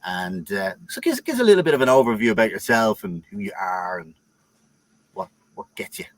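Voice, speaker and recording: A man's voice: fairly low pitch, very animated, brisk, no room sound. A man hosting a live interview over a video call, upbeat and full of fillers and repeated words. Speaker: host.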